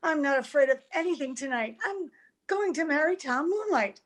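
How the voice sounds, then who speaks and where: Dreamy young bride, played by an actress, high-pitched, naive and emotional, old-time radio style. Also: female voice, expressive, normal speed. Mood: elated, dreamy. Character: romantic, breathlessly happy young bride, naive and anxious.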